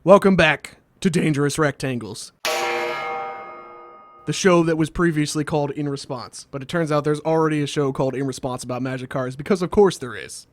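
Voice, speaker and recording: A man's voice: fairly high pitch, fairly quick, faint music behind. A man hosting a scripted comedic explainer with a wry, sardonic delivery, recorded as a close voice-over. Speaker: host of a card game video series.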